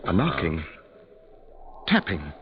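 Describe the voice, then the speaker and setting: An actor playing an anxious gentleman passenger, easily shaken, in an urgent, melodramatic 1940s radio manner. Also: low-pitched, sing-song, takes it slowly, full character voice. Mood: tense. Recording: muffled, hissy 1940s radio recording.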